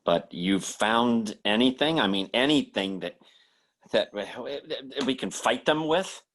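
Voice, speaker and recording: Anxious, stammering radio horror hero. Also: male voice, mid-range voice, lively intonation, fairly bright tone. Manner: halting, stammering delivery. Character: anxious young man narrating a supernatural horror tale. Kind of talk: old-time radio drama acting. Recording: old-time radio drama with faint music.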